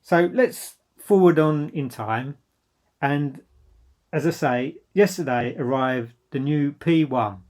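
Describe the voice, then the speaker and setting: A man's voice: on the high side, slow pace, darkish tone. A man presenting an informal product review as a dealer, speaking in an enthusiastic, emphatic way.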